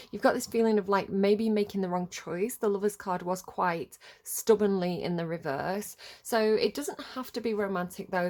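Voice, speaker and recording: A woman's voice: medium pitch, a little mellow. A middle-aged woman with a slightly congested voice from a cold, hosting a casual, rambling tarot reading in a hesitant, conversational way. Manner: hesitant, conversational, loosely wandering. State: has a cold. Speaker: tarot reader and video host.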